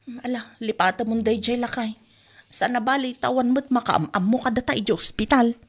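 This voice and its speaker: A woman's voice: fairly high pitch, fairly quick. A woman with an Ilocano accent playing a dramatic, complaining wife and new mother in a comedy radio drama. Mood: subdued, sulky.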